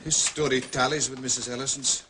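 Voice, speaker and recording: An actor playing a brisk, deferential police inspector, businesslike in a British detective drama. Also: male voice, medium pitch, flat delivery, background noise, lightly acted.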